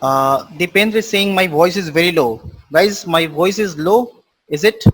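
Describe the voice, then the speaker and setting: A man's voice: high voice, moderate pace, fairly bright tone, slightly rough, rough recording. A man teaching a live online class, explaining terms with repeated simple definitions and quizzing his students. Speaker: networking instructor.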